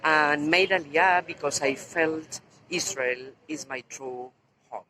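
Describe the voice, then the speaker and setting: Slow-talking Argentine woman tour guide. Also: sits low. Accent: Argentine Spanish. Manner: slow, explanatory, points out sights. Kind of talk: virtual walking tour. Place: outdoors on city streets and a tram. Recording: loud street and traffic noise.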